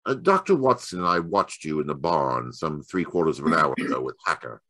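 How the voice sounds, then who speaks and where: Guy acting old radio mystery detective, lowish voice, really animated, stammery and formal, dry sound. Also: dry recording, heavily acted. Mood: deliberate, authoritative. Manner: halting, stammering, then deliberate and formal. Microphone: home microphone on a video call.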